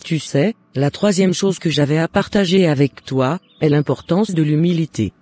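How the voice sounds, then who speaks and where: A man's voice: a little high, normal speed, darkish tone, husky. A man playing a loving, devout mother in a cartoon, giving earnest and encouraging advice in formal words. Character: devout, loving mother advising her son. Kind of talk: scripted cartoon dialogue.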